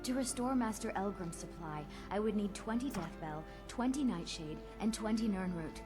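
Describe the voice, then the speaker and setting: An actress playing an ambitious young woman alchemist in a game, with a measured, eerie formal delivery. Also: mid-range voice, lively intonation, over music, natural voice. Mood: earnest. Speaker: game character.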